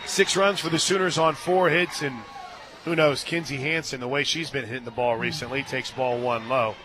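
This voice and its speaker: A man calling softball play-by-play on the radio, chatting casually between pitches and lifting with excitement on big plays. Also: normal speed, crowd noise, background noise. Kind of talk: live softball commentary with casual booth chat. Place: stadium radio booth.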